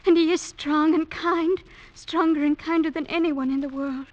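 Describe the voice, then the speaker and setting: An actress playing a gentle young woman in love, soft and tender in mid-century radio drama style. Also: sits high, steady tone, normal speed, natural voice. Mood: pleading. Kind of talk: 1940s-50s radio drama acting. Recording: dull vintage radio recording.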